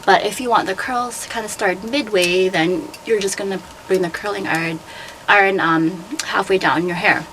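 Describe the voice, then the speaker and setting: A young woman with a sore, hoarse throat, chattily explaining step by step how she curls her hair. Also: medium pitch, fairly bright tone, poor audio. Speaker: beauty vlogger and hairstylist. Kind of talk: casual hair tutorial. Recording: noticeable background noise.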